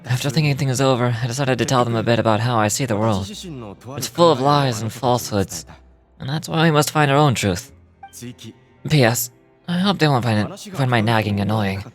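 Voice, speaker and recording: A man's voice: mid-range voice, moderate pace, faint music behind, lightly acted. A man voicing a kindly, modest stage magician from a game, reading his diary in a reflective way and occasionally stumbling over words. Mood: concerned, reflective.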